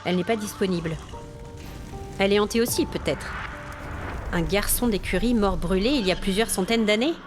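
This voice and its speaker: A woman's voice: mid-range voice, a little fast, pauses often, over music, close to an everyday voice. A woman playing an exasperated, haughty hotel guest with complaints, in an acted audio fiction monologue. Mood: wary, exasperated. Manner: haughty, aggrieved, demanding. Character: indignant, exasperated female hotel guest complaining about ghosts.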